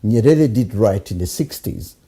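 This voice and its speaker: A man leads a conversational interview, his voice dark and mellow with a husky edge. He works toward his questions through hesitations and keeps up a steady stream of agreement while the guest talks. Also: on the low side, moderate pace. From Uganda. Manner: searching, hesitant, frequent backchannel agreement.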